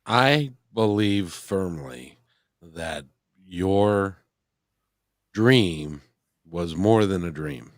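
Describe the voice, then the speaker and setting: Host, male, very low pitch; earnest and firm, reassuring tone, dry close sound. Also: animated, very slow, pauses often, no room sound. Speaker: interview host. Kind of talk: casual interview chat.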